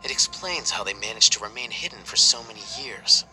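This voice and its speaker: Man voicing a dry bored scholar, kinda low voice, wordy and detached. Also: lively intonation, fairly quick, over music, close to an everyday voice, low-quality recording. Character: aloof dry-witted scholar, young man. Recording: game voice line over score.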